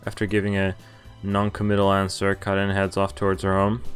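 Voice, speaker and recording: A man's voice: low voice, monotone, a little fast, clean tone. A man streaming a game, casually reading its text aloud and adding laid-back, wry asides. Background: game music.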